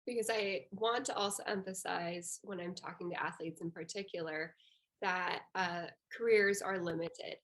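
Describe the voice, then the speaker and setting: A woman's voice: lowish voice, steady tone, moderate pace, a bit bright. Young woman interviewing in a casual way.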